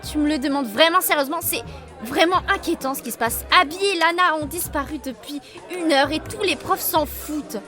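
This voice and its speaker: A woman's voice: sits high, brisk, over music, lightly acted. A woman voicing a blunt, hot-tempered but loyal schoolgirl in a fan-dubbed cartoon. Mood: worried, indignant. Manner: blunt, sweary, indignant. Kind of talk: fan-dub cartoon acting.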